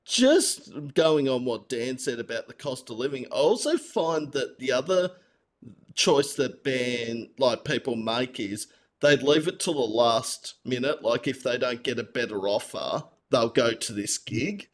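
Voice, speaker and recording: A man's voice: medium pitch, animated, unhurried. A man from a metal band chatting on a podcast, talking conversationally through everyday examples. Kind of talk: casual podcast chat.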